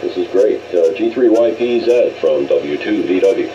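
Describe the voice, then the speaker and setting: Deep-voiced American man over noisy AM radio. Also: expressive, normal speed. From United States. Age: middle-aged or older. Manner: rambling, hesitant, reminiscing. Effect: AM radio transmission. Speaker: amateur radio operator. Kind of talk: casual ham radio chat. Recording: muffled, noisy AM shortwave radio signal. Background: radio static noise.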